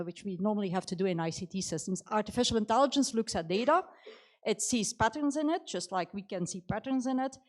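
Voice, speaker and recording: A middle-aged woman speaking English with a Belgian accent and a slight New Zealand tinge, giving a conversational career talk full of "you know". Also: medium pitch. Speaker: conference speaker. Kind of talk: motivational talk about her career.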